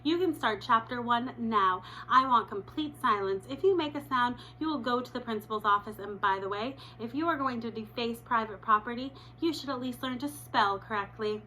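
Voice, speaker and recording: A woman's voice: on the high side, moderate pace, few pauses, sharp tone, poor audio. A woman voicing a strict female teacher who scolds her class in a stern, clipped way.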